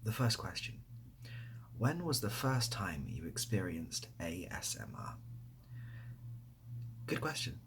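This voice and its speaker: A man speaking softly in a casual ASMR style, breathy and often dropping to a whisper, rambling hesitantly with a self-deprecating manner. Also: sits low, sing-song, slow, pauses often, noisy background. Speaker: ASMR video creator and host. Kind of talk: soft-spoken ASMR, casual question-and-answer to camera.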